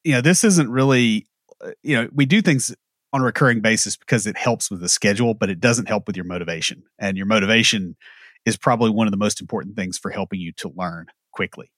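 A man with a lowish voice talking through ideas in a measured, explanatory way, dropping in wry side comments. The sound is close and dry, with no room echo. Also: a bit bright. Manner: thoughtful, explanatory, occasional dry asides. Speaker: podcast co-host. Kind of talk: casual conversation.

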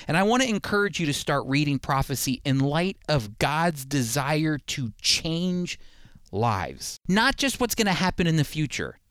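Man, sermon-style Bible teaching, emphatic, lively swooping intonation, dry studio-like sound. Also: fairly high pitch, clean tone, no echo. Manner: emphatic, with rhetorical questions and slowed scripture readings. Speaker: Bible teacher and program host.

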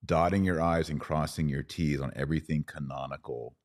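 Very deep-voiced man in conversation. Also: fairly even intonation, fairly quick, darkish tone. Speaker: podcast host. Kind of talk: casual podcast conversation.

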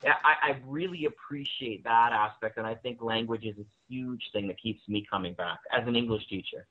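A man's voice: medium pitch, brisk. A man who hosts a show, speaking enthusiastically in a casual discussion. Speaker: audio drama show host, English teacher. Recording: narrow, tinny phone-like line.

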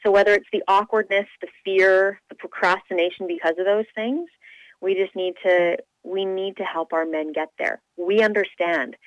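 A woman gives an upbeat, conversational interview over a muffled telephone line. Her voice sits at a medium pitch with little room sound. She stresses her points, often in lists and repetitions. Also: steady tone, normal speed, no echo. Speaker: radio show personality being interviewed as charity event organizer.

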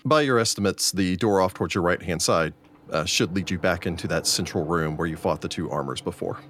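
Deep-voiced man narrating a game. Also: fairly bright tone, slight background noise. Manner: measured, descriptive storytelling. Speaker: game master. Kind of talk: narrating a tabletop role-playing game.

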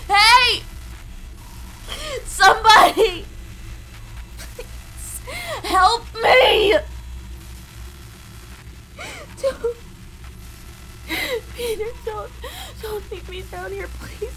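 A woman playing a terrified stranded space explorer in an audio drama, crying and pleading in halting, stammered phrases. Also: very high-pitched, bright, forceful tone, slight background noise, exaggerated voice, low-quality recording. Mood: panicked. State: crying, panicked.

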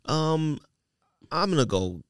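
A man's voice: a little high, big pitch swings, slow pace, crowd noise. A man chatting casually as a podcast panelist, trading sports opinions and picks.

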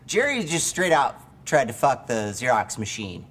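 A man's voice: medium pitch, sing-song, normal speed, a bit bright, breathy edge. A man improvising in character during comedy banter, rambling and folksy with many restarts.

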